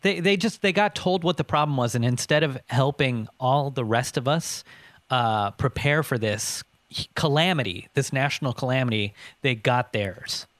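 A man with a medium-pitched voice talks casually as a podcast co-host. The sound is very dry, and he builds each point haltingly, circling back over his phrases. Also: fairly bright tone, no room sound.

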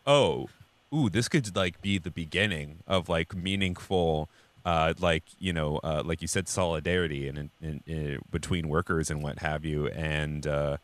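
An American man co-hosting a podcast in casual political discussion, talking in a halting, rambling but emphatic way. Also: extremely low pitch, no echo. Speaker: podcast co-host.

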